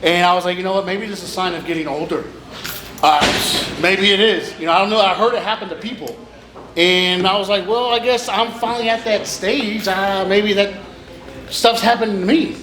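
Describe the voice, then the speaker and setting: A man's voice: high voice, a little fast, noisy background. A man in his thirties doing rambling, self-deprecating stand-up, acting out bits of dialogue in a casual way. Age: 30s. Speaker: stand-up comedian. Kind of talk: stand-up comedy, casual joke telling. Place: small live comedy venue, through speakers. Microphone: stage microphone with PA. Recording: echoey live club with chattering crowd.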